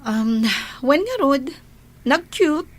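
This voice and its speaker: A woman's voice: high-pitched, lively intonation, slow. A woman with an Ilocano Filipino accent playing a weary, sickly eldest daughter who works abroad, plaintive and hesitant, in a radio drama. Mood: reluctant, subdued.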